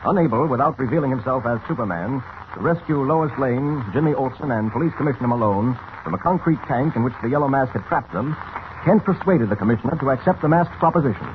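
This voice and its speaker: A man's voice: medium pitch, lively intonation, fast. A man narrating an old radio serial in a dramatic, scripted style. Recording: tinny, hissy old radio recording.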